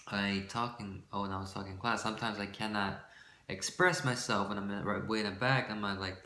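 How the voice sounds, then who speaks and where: Shy young guy vlogging, rambling w lots of ums, bright light voice but lowish pitch, echoey room. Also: fairly even intonation, brisk, slight background noise. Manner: shy, rambling, full of fillers and self-corrections; shifts between a light voice and a forced deeper one. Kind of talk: casual talk to camera about his voice.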